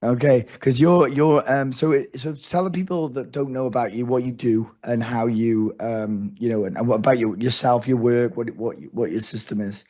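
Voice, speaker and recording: A man with a hoarse, fading voice hosting a casual interview, chatty and rambling, with many restarts. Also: medium pitch, fairly even intonation, moderate pace, few pauses, dark tone. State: losing his voice. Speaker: host.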